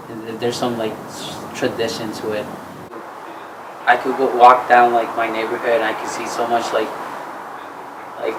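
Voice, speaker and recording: A young man from Mexico speaks in a flat, even voice, pausing and restarting often as he answers. A clear hum of air conditioning runs behind him throughout. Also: mid-range voice. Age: young adult. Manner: halting, full of "like" and restarts. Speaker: student interviewee. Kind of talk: casual interview answer.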